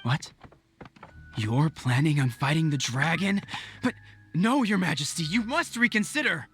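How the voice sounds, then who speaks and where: An actor playing a loyal, dutiful royal guard officer in a video game, speaking formally and earnestly. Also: male voice, on the high side, lively intonation, unhurried, a bit bright, slightly rough, exaggerated voice. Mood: shocked. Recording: music under the voice.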